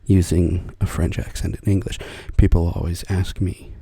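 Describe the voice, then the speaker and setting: An American man talking soft-spoken for ASMR, often slipping into a whisper, rambling and correcting himself as he goes. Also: sits low, flat delivery, normal speed, vocal fry, slightly rough, no echo. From United States. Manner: meandering, self-correcting, chuckles softly. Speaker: ASMR creator.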